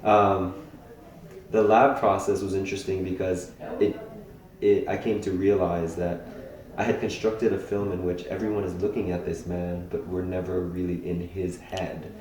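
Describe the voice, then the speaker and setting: A young man from Hawaii, a filmmaker being interviewed, speaking thoughtfully with many pauses and restarts. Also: deep voice, level tone, darkish tone. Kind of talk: casual interview talk. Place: festival venue indoors. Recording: very echoey room.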